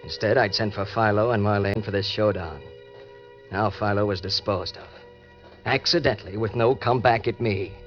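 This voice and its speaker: A low-pitched hard-boiled killer acted by a man from an old radio crime drama. He is cynical and edgy, talking in clipped slang. The sound is dull and vintage, with music in the mix. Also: fairly even intonation, normal speed, lightly acted. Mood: cynical, tense. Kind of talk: old-time radio crime narration and acting.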